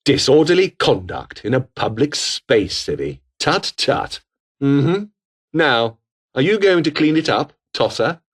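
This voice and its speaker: A menacing British militant, wildly swinging pitch. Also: male voice, big pitch swings, a little slow. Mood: suspicious, irritated. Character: menacing, foul-mouthed militant revolutionary foot soldier, adult man. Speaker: video game voice actor. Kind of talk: video game combat barks.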